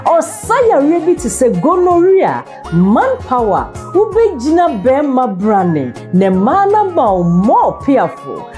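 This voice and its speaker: A Ghanaian woman voices an animated advert. Her high voice has a mellow tone and leaps dramatically in pitch, with faint music underneath. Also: slow. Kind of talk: animated radio-style sales pitch.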